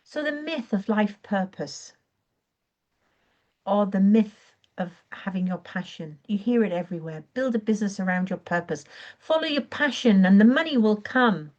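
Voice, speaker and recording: Woman, croaky voice, frequent throat clearing, earnest encouraging coach, live stream, slightly muffled lo-fi sound. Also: mid-range voice, big pitch swings, normal speed, a little mellow. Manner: earnest, encouraging, with occasional self-corrections. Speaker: online business coach. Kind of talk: live-streamed training talk.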